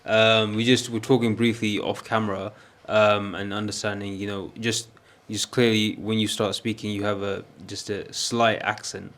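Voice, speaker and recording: A man hosting a casual podcast, talking haltingly with lots of fillers and some joking. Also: on the low side, level tone, fairly bright tone. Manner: halting, many fillers and restarts, jokey. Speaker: podcast host.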